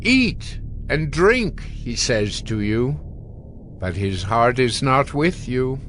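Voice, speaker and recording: A man's voice: medium pitch, very animated, slow, a bit bright, a little hiss or hum. A man narrating scripture as a dramatized audiobook, in a solemn, admonishing manner.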